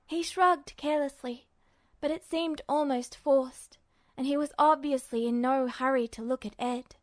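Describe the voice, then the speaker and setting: A woman with a high voice narrates fiction from a script. The recording is very dry, with the sound of a story read aloud for listeners. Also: fairly even intonation, unhurried, no room sound. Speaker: podfic narrator.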